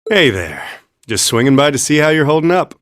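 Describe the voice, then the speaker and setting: A man's voice: a little high, big pitch swings, brisk, a bit bright, dry recording, clean studio sound. A man playing an easygoing, friendly young ally in an anime-style game, casual and breezy. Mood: friendly concern.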